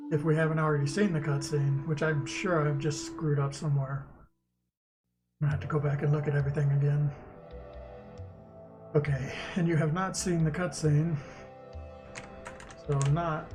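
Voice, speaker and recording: Higher-pitched man thinking aloud over music. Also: little pitch movement, moderate pace, pauses often. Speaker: game developer streaming. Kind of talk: casual thinking aloud while coding. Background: music, keyboard typing.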